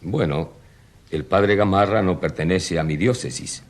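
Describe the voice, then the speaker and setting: A man playing a discreet, dignified archbishop in a radio drama interview, speaking in a measured, urbane manner. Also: low-pitched.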